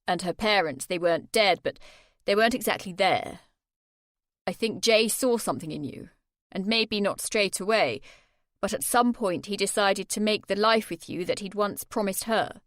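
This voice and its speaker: A woman voicing an earnest young man who speaks gravely and steadily. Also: medium pitch, moderate pace, dry recording, studio quality, close to an everyday voice.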